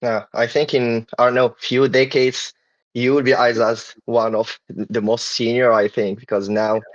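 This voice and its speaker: A man's voice: medium pitch, flat delivery, a little slow, no echo. A man from Morocco hosting a casual podcast chat, talking with many fillers and restarts. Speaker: podcast host. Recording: dull lo-fi dry sound.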